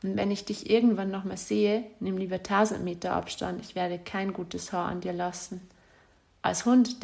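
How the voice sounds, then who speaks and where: A woman's voice: medium pitch, creaky, a little mellow. A woman reading out a letter she wrote to her former partner, in a bitter, accusatory and insulting way. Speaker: spurned ex-partner.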